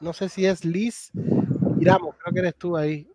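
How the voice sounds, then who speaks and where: A middle-aged man with a Cuban accent hosting a casual live stream chat over a video call, lively and warmly addressing his guest. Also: high-pitched, normal speed, soft background music, low-quality recording. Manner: animated, thanks viewers, calls guest gato and hermano. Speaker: host.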